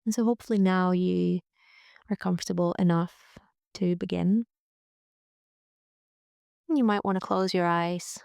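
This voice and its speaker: A woman leading a guided relaxation meditation in a gentle, soothing, unhurried way, with frequent pauses. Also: lowish voice, steady tone, gravelly, clear, resonant tone, no echo, studio quality. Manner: gentle, soothing, pausing often. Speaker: yoga nidra guide.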